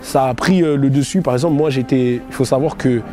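A man's voice: mid-range voice, brisk, dark tone, faint music behind. A young man tells his own story casually, in a slangy, conversational manner full of check-in tags. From France (Paris area) and England (London). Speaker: storyteller recounting own life. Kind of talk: casual autobiographical storytelling to camera.

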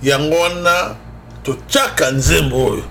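High-voiced Congolese man preaching on air. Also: slow pace, pushed and bright, slight background noise. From Democratic Republic of the Congo. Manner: emphatic, switching between Lingala and French. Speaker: religious broadcast host and preacher. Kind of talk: conversational preaching on a radio show.

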